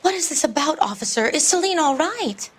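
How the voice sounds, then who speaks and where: A woman playing a prim, gossipy 1940s mother and neighbor in a crime game, measured and disapproving. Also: sits high, animated. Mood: prim, confiding. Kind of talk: period crime game acting.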